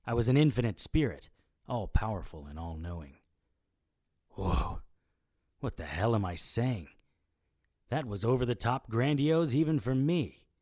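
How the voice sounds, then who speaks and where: An actor playing a wry, self-mocking immortal occult investigator who narrates an audio drama in noir style. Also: male voice, medium pitch, warm tone, no echo, studio quality, close to an everyday voice. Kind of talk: scripted first-person audio drama narration.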